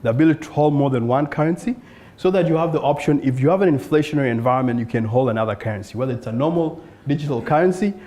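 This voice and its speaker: A man from South Sudan, a startup founder, giving an earnest pitch talk to an audience at an evening event. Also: very fast, mellow. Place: event room in front of an audience.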